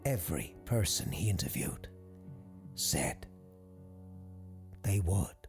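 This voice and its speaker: A man reading scripted, eerie documentary-style narration in a measured, deliberate way with dramatic pauses. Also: sits low, very animated, music in the background. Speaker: host and narrator.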